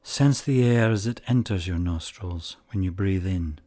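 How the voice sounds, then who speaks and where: A man leading a guided meditation in calm, measured short phrases with long pauses. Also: low voice.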